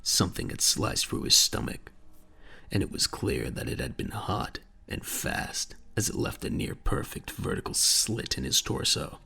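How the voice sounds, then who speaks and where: A man with a gravelly voice narrating a dramatic audio drama in a grave, measured storytelling manner. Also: bright, forceful tone. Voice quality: very deep, gravelly. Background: faint music.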